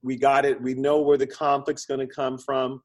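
A man with a medium-pitched, somewhat husky voice speaks as an expert guest in an interview. He explains conversationally and animatedly, acting out his examples in short, punchy phrases. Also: monotone, normal speed. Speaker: interview guest, screenwriting consultant and producer.

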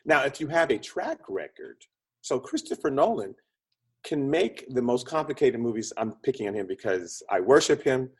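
A man speaking as an expert interview guest, explaining conversationally in an animated way with short punchy phrases and a slightly husky voice. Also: mid-range voice, moderate pace. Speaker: interview guest, screenwriting consultant and producer.

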